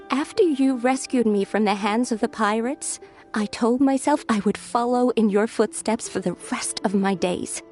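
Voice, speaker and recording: An actress playing a loyal young sword disciple in a game, speaking in a worried, earnest way. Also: female voice, on the high side, a little mellow, natural voice.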